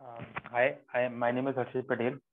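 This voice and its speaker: A young man, an undergraduate earnestly introducing himself in an online class, halting now and then. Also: mid-range voice, little pitch movement, a little hiss or hum. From India. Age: young adult. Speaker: undergraduate student. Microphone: video call. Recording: tinny phone-like video call audio.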